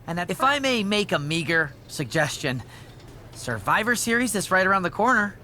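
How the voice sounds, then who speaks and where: An actress playing a cautious, deferential backstage staffer in a video game cutscene, with a tactful, tentative manner. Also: female voice, fairly low pitch, big pitch swings, normal speed, sharp tone, slight background noise. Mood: cautious, concerned. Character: cautious, deferential wrestling show staffer advising the boss.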